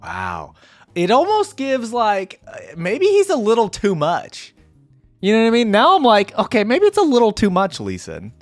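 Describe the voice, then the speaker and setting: A man's voice: sits high, huge pitch swings, moderate pace, no echo. A man hosting a casual game reaction video, talking in an informal, slangy, effusive way. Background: music.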